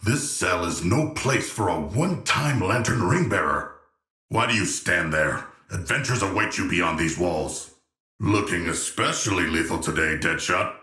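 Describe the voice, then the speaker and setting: Very deep, forceful, erudite shark villain. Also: male voice, roomy sound, heavily acted. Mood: solemn, proud. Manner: formal, eloquent, measured, deadpan diction. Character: hulking, erudite, gentle yet proud humanoid shark villain. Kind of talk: video game character voice lines.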